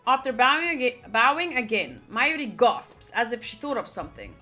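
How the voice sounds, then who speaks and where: Bright, animated woman reading a game aloud. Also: fairly high pitch, normal speed. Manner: chatty, expressive, reacting fondly to the game. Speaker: host of a visual novel playthrough. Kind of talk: reading game narration aloud with casual asides. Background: background music.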